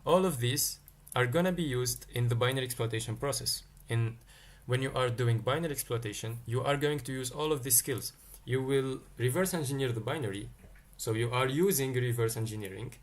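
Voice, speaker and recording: A man's voice: mid-range voice, fairly quick, few pauses. A man from Tunisia, teaching a live-streamed coding lesson. He thinks aloud and answers chat questions as they come in. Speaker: livestream tech teacher.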